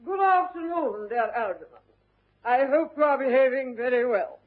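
An actress playing an imperious older upper-class English aunt in a classic stage comedy, with a haughty, deliberate, declamatory delivery. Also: female voice, high-pitched, animated. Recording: muffled vintage narrow-band radio recording.

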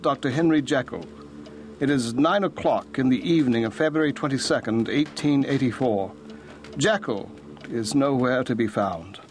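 An actor playing a sober, loyal Victorian attorney who narrates a grim account in a formal, measured, grave manner for an audio drama. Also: male voice, medium pitch, lightly acted. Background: music.

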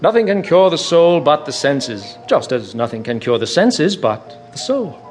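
An actor playing a witty, languid aristocratic gentleman in a radio drama, speaking in theatrical epigrams. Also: a little high, very animated, unhurried, soft background music. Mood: urging.

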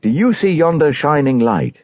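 Solemn evangelist guide, done by a man reading, deliberate and commanding, husky, kinda muffled recording. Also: on the high side, big pitch swings, darkish tone, no room sound, voice pushed up. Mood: solemn questioning.

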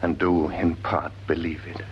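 Grave, deep-voiced British watchman. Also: flat delivery. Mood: grave, resolved. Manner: solemn, measured, poetic. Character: grave, thoughtful male night-watch companion of a prince. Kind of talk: classical Shakespearean radio acting. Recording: muffled old radio recording with hum.

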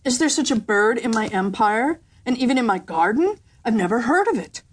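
A woman's voice: fairly high pitch, very animated, a little fast, husky. A woman voicing a proud, commanding emperor in a storybook reading, declaiming imperiously.

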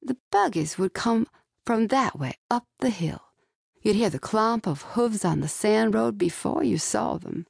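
Woman voicing a gentle old Southern lady storyteller. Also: medium pitch, lively intonation, unhurried, gravelly, breathy edge, dry recording, studio quality, pitched above the performer's own voice, full character voice. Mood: fond, nostalgic. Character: elderly Southern lady reminiscing fondly to a young girl.

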